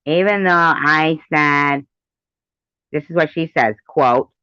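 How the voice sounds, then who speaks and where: A woman's voice: sits low, slow pace, sharp tone, clean tone, no room sound. A middle-aged woman giving indignant, emphatic personal commentary, often repeating her phrases. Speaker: commentator reacting to played audio. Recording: dull, lo-fi recording.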